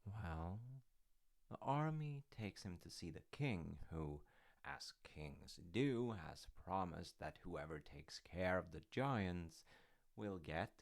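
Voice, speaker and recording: A man's voice: low voice, animated, slow pace. A man retelling a fairy tale in a casual, rambling way with informal, wry asides. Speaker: storyteller dad character in audio roleplay.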